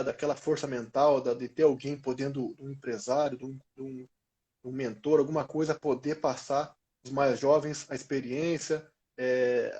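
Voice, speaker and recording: A Brazilian man in his thirties talks casually in an interview, with a slightly high voice. His Portuguese is informal and full of slang, told like stories to friends. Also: darkish tone. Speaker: former professional footballer being interviewed.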